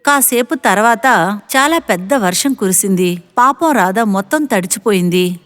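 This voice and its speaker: Mid-pitched woman storyteller with soft music. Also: animated, moderate pace. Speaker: narrator. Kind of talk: scripted moral story narration.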